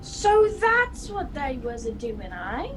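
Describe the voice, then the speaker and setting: A woman's voice: high-pitched, sing-song, slow, a bit bright, faint music behind, full character voice. A woman playing a nosy, slangy passenger in a radio play. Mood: nosy, astonished. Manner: slangy, exclamatory. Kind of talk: old-time radio play acting. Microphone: video call. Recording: faintly noisy video call audio.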